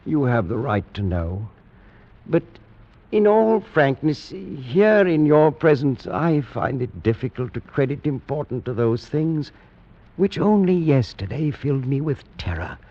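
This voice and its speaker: An actor playing a frail, feverish, haunted nobleman in theatrical old-time radio horror style, halting and frayed. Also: medium pitch, very animated. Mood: anxious confiding. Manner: theatrical, halting, swinging between weary and frenzied. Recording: muffled vintage radio recording.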